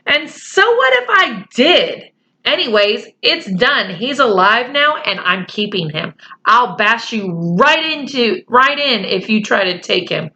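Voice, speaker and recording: A woman reading aloud and voicing a stubborn, hurt boy who yelps and argues in a high voice. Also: big pitch swings, unhurried, sharp tone, heavily acted. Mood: defiant. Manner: shrill, yelping, defiant. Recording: slightly muffled home recording.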